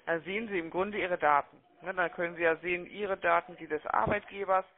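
A man's voice: sits high, fairly even intonation, fairly quick. A man working as a call-centre agent, chummy and wordy, often ending sentences with little questioning tags. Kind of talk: chatty, unscripted sales phone call. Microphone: telephone line. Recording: muffled, narrow-band phone-call audio.

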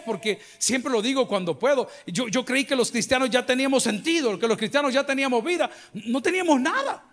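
Salvadoran male pastor, middle-aged, high voice, animated sermon delivery on handheld mic. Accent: Salvadoran Spanish. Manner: lively preaching, bursts of mimicry and humor. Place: large church auditorium with a crowd. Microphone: handheld microphone.

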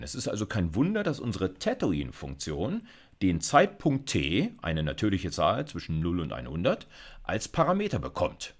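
A man, explaining like a university lecturer in an informal, conversational way, often checking in with short tags. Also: fairly low pitch. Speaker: university computer science lecturer.

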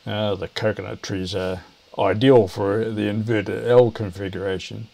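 An older man, explaining things to camera in a measured, hesitant way. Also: fairly low pitch, flat delivery, vocal fry, dark tone. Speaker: video presenter, ham radio operator.

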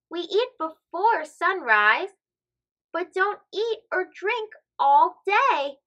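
A woman with a high, bright voice reads a storybook aloud. Her delivery is careful and expressive, with lively pitch movement meant for young listeners. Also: dull audio. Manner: careful, expressive, child-directed. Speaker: children's storybook reader.

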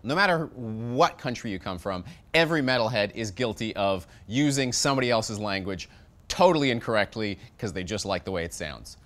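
Animated, bright-voiced man hosting a review show. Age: 30s. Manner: sarcastic, jokey, wide swings in pitch. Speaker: metal review show host. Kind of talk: comedic scripted review. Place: studio set with shelves of records.

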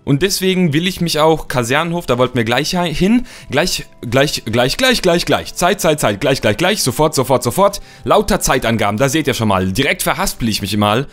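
Young man, slightly hoarse from a cold, a bit higher voice, playful rambling gameplay talk, faint music behind. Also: expressive, normal speed, hardly any pauses, fairly bright tone. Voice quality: slightly rough voice. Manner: playful, rambling, repeats words for fun. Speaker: let's play host. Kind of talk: casual gameplay commentary.

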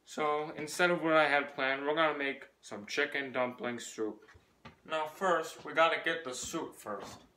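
A young man hosting a homemade comedy cooking show in a family kitchen, deadpan and casually swearing. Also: a little high, a little slow, a little hiss or hum. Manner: deadpan, swears casually, switches between bickering and cooking instructions. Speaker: comedy cooking-show host playing both himself and a rival. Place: family home kitchen and hallways, indoors.

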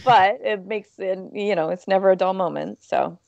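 A woman's voice: medium pitch, moderate pace, creaky, darkish tone, dry recording, muffled recording. A woman in her thirties chatting casually as a staff panelist through an earbud mic. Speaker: rescue centre staff panelist. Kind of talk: casual chat. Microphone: earbud mic on video call.

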